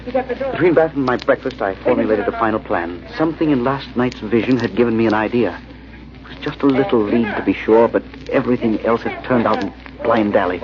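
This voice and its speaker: An actor playing an earnest, haunted amateur sleuth who also narrates an old-time radio thriller, dramatic and slightly halting. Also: male voice, medium pitch, lively intonation, natural voice. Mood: brooding. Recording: muffled old radio with heavy hiss.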